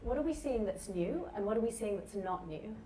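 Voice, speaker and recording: A woman's voice: medium pitch, expressive, brisk, warm tone. A woman moderating a live conference panel, introducing the session and putting questions to the speakers. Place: indoor conference session room. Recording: echoey room, distant mic, background noise.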